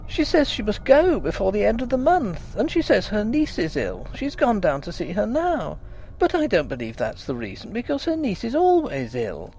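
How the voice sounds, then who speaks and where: A man's voice: high-pitched, huge pitch swings, normal speed, a little mellow, pitched above the performer's own voice, full character voice, low-quality recording. A man voicing a young wife in a ghost story, tearful and fretful, pleading and complaining. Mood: tearful fretting.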